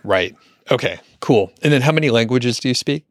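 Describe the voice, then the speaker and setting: A man's voice: mid-range voice, animated, a little fast, no echo. Easygoing male podcast host, dry. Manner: easygoing, short affirmations. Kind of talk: casual interview.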